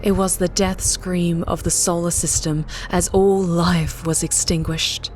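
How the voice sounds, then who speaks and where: Female audiobook narrator, slightly low voice, dramatic scripted storytelling, music bed. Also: little pitch movement, measured pace.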